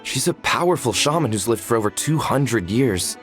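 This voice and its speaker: An earnest game villager, played by a man, respectful and a little nervous. The voice is mid-pitched and animated, with faint music underneath. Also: moderate pace, natural voice. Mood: nervous, deferential. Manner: earnest, deferential. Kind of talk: video game voice acting.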